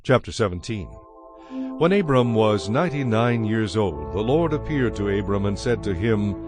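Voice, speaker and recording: A man giving a solemn, measured scripted narration of scripture in a dramatized style. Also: on the low side, big pitch swings. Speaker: Bible narrator. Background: music.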